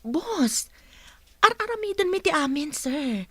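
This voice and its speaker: An actress with a Filipino Ilocano accent playing a brisk, probing office superior in a radio drama. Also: female voice, high-pitched, sing-song, no echo, exaggerated voice. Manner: probing, instructing.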